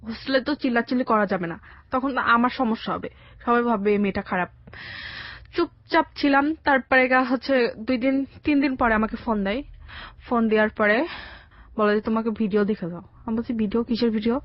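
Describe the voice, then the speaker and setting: A young woman with a Bangladeshi accent giving a halting, colloquial personal account as a radio interview guest. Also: on the high side, no echo, highs cut off. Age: young adult. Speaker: interview guest telling her life story. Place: radio studio.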